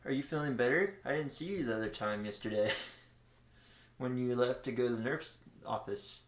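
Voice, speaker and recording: A man's voice: medium pitch, moderate pace, lightly acted. A man voicing a kindly, concerned schoolteacher in an amateur story reading. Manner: friendly, attentive. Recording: roomy, echoing room sound.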